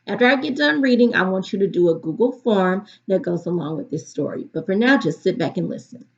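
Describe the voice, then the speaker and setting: Female teacher, read-aloud storytelling style. Animated, expressive intonation, medium pitch, muffled lo-fi sound. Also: fairly quick, vocal fry. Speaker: teacher reading aloud to students. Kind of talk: picture-book read-aloud for a virtual class. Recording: dull, lo-fi recording.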